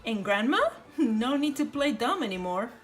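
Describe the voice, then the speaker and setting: A plucky little girl from a storybook, played by a woman, high and lively. The voice is animated and wary, with big swings in pitch. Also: moderate pace, lightly acted. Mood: bold.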